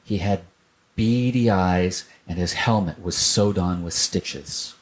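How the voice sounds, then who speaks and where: A man's voice: deep voice, slow. A man narrating a children's story as a scripted storyteller.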